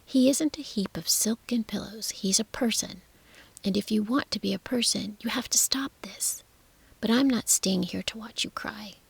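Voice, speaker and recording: A woman's voice: medium pitch, moderate pace, creaky, husky, low-quality recording. A woman voicing a stubborn, blunt young girl who scolds in a clipped whisper. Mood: scolding.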